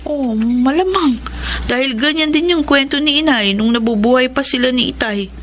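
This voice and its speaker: An actress playing a poor, sensible and protective older orphan sister in a radio drama, speaking earnestly. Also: female voice, high-pitched, moderate pace, a bit bright. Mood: resigned, explaining. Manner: earnest, explaining. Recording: clear background ambient noise.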